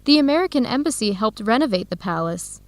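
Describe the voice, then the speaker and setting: A woman playing a warm, curious American researcher in an educational radio drama, speaking in a conversational, inquisitive way. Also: on the high side, lively intonation, quick pace, no room sound.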